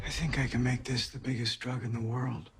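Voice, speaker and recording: An actor playing an ambitious, self-assured drug company executive who speaks grandly. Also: male voice, medium pitch, level tone, brisk, close to an everyday voice. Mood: grandiose. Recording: film trailer mix over loud music.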